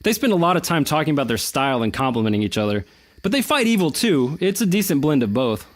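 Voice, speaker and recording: A man playing an excitable, geeky adventurer in a comedy audio drama, chatty and enthusiastic. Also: on the high side, fast talker. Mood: fannish.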